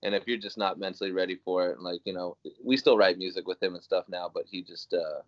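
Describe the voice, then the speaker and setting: Young man, very deep voice, rambling casual talk, dry muffled video call sound. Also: fairly even intonation, fast, no room sound. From Iowa, US. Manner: rambling, many fillers and restarts. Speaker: guest musician. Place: small room at home, indoors. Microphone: video call webcam.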